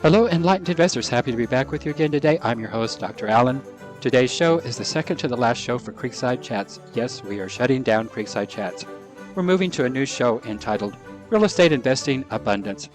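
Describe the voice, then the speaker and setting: A man's voice: medium pitch, animated, a little fast, soft background music. A man hosting an interview podcast, upbeat and formal as he reads his introductions. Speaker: podcast host.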